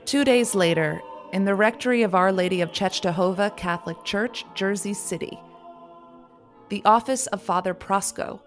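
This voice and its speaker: A woman's voice: medium pitch, natural voice. A woman narrating a radio play, setting the scene in scripted narration. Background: music.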